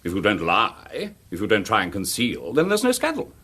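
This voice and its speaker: A man's voice: fairly low pitch, huge pitch swings, moderate pace, bright, forceful tone, breathy edge, exaggerated voice. A man playing an urbane, self-assured middle-aged English college principal in a radio drama, with a suave, donnish, rhetorical manner. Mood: suave, pontificating.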